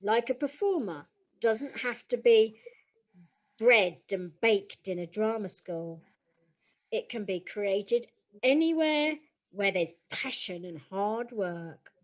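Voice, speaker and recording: A woman's voice: mid-range voice, expressive, slow pace. Woman acting young performer, earnest, wounded, heartfelt, audio play, slightly muffled sound.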